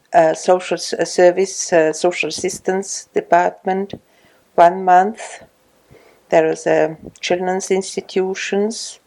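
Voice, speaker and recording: A woman in her sixties, from Estonia, speaking English with an Estonian accent in an oral history interview at her home, slow and hesitant with many pauses. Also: fairly low pitch, gravelly, dark tone. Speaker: retired social worker interviewee.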